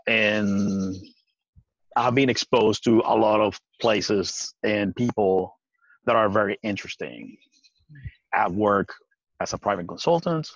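A man in his forties from Puerto Rico, talking earnestly on a video call from outdoors, with halting restarts and frequent laughs. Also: on the low side, fairly bright tone, no room sound. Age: 40s. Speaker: guest. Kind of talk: casual video-call interview. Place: outdoors on a deck by trees. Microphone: video call webcam. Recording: dry, dull lo-fi webcam sound.

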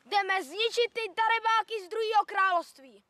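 A woman's voice: very high voice, a crowd in the background. A woman playing an indignant, blustering king with an emphatic, imperious delivery. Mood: commanding.

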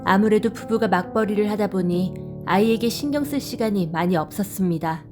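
A woman narrating a written story in a calm, reflective way. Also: medium pitch, fairly quick. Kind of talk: scripted narration. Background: faint music.

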